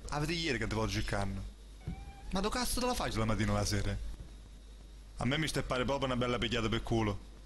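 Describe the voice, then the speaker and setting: A man acts out an angry office boss in a comic film parody. He scolds coarsely and very fast in an Apulian accent, with lively swings of pitch and faint noise behind. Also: natural voice. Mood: scolding. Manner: coarse, berating.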